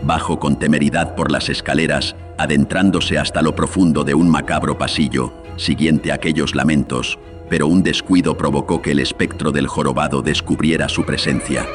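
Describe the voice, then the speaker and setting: Male horror narrator, extremely low, solemn and literary, filtered studio sound over a light music bed. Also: steady tone, normal speed. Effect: voice processed with studio filters. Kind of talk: scripted horror story narration.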